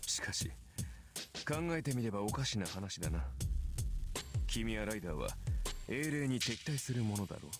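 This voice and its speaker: A man's voice: fairly low pitch, animated, fast, long pauses. A male voice actor playing a cynical warrior servant in a Japanese visual novel, with a dry, sardonic delivery. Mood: musing. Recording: music behind the voice.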